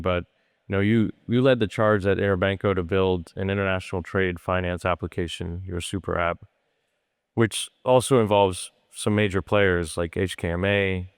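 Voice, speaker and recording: A man's voice: deep voice, clean tone, no echo, studio quality. A man moderating a conference panel, asking loose, rambling questions.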